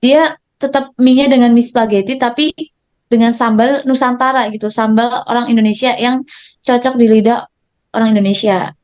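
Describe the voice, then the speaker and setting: A woman's voice: a little high, steady tone, moderate pace, darkish tone, dull audio. A young woman student presenting in class, mixing read text with casual asides and many hesitations.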